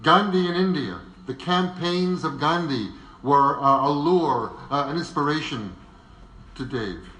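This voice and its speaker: A man's voice: on the high side, animated, unhurried, bright, forceful tone. A man presenting a semi-scripted biographical narration in a conversational way, with wry asides. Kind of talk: semi-scripted narration before a live audience. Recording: echoey live room.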